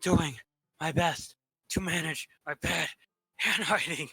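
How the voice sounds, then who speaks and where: A man reading game narration aloud in a plain, steady way. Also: fairly high pitch, slow pace, gravelly, slightly rough, dry recording, low-quality recording.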